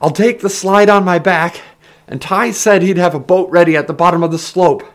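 Man acting out a high, frantic shouting young man. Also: normal speed, lightly acted. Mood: urgent shouting. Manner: shouted, strained delivery.

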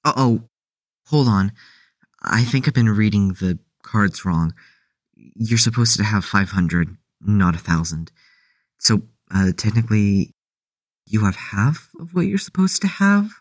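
A man's voice: on the low side, expressive, unhurried. A man playing a mild, easygoing and slightly hesitant character in an audio drama. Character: easygoing, nostalgic man, mild peacemaker of the group.

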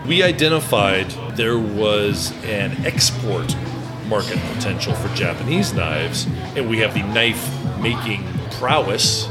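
Male executive guest, deeper voice, conversational explaining, heavy music under the speech. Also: lively intonation, measured pace. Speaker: company executive being interviewed. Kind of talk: explanatory business interview.

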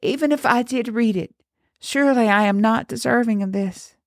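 A terrified young girl played by a woman storyteller pleads desperately for belief. Her voice is pitched very high and sounds close and dry, as in a dark dramatic folk tale. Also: normal speed, no echo, natural voice. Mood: tearful protest. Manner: desperate, begging.

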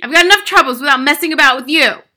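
A woman voicing a gruff, bullying, impatient father in a storybook, snapping out orders. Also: sits high, racing, pitched above the performer's own voice. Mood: angry, snapping. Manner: snapping, forceful, barking.

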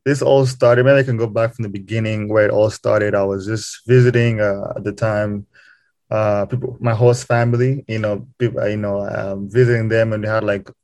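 A young man from Rwanda talks easily as a podcast guest, filling his sentences with "um" and "you know". His voice sits a little low, and the recording is dry and close. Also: normal speed, no room sound. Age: young adult. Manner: relaxed, lots of fillers like "um" and "you know". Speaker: podcast guest, student and game designer. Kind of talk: casual interview talk.

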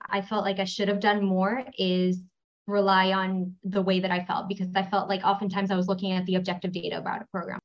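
A woman who is a chief resident, speaking reflectively in a podcast interview. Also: mid-range voice. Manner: reflective, thoughtful. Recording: dull lo-fi sound.

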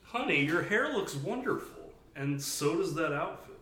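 A man's voice: fairly high pitch, very animated, measured pace, a bit bright. A man voicing an affectionate mother of a grown daughter in audiobook dialogue. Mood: encouraging. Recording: roomy echo.

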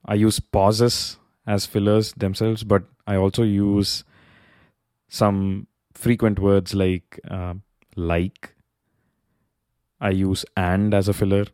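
A man whose first language is not English, hosting a solo podcast and thinking aloud with many pauses and filler words. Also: lowish voice, mellow, clear, resonant tone, dry recording, studio quality. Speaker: podcast host. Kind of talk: solo reflective podcast monologue. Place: Bay Area, California.

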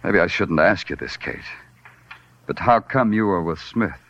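Very deep, brooding hardboiled detective. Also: male voice, expressive, fairly bright tone, no echo, heavily acted. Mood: accusing, weary. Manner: brooding, clipped, deliberate delivery. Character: world-weary, poetic hardboiled New York police detective. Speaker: actor playing the lead detective and narrator. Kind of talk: 1940s hardboiled radio drama acting. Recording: dull vintage radio recording, organ-style music behind.

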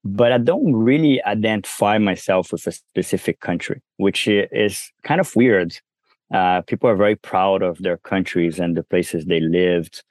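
A man with a Brazilian accent carrying a trace of French speaks in a very low voice. He talks casually and loosely, with plenty of fillers and restarts. The sound is dry and close. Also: moderate pace, no echo. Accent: Brazilian with some French. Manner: relaxed, many fillers and restarts. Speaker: guest entrepreneur. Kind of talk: casual interview conversation.

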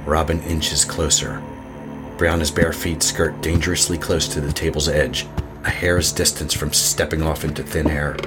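A man narrating a scripted horror story in a measured, suspenseful manner. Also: extremely low pitch, flat delivery, music in the background.

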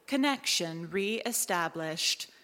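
A woman acting as a female starship computer, calm and literal, with a clear-cut bright tone. The delivery is formal and deliberate, gently caring underneath. It is a live audio drama in a hall with long reverb. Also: on the low side, exaggerated voice.

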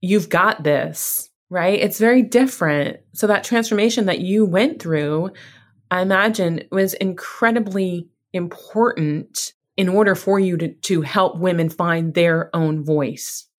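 A woman's voice: on the low side, measured pace. A woman hosting an interview, encouraging and chatty, wandering through her thoughts and laughing easily. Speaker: podcast host. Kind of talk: conversational interview.

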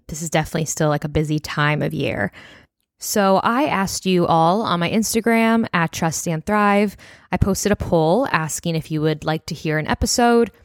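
A woman in her twenties, a little hoarse, hosting a casual solo podcast and chatting with lots of fillers. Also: lowish voice, no room sound, studio quality. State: slightly hoarse, voice near breaking. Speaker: podcast host.

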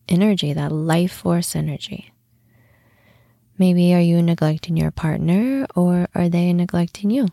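Slow, gravelly-edged woman guiding a meditation. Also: on the low side, no echo, clean studio sound. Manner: soothing, unhurried, with long pauses and breathy softened phrase endings. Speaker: podcast host and meditation guide. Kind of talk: guided meditation narration.

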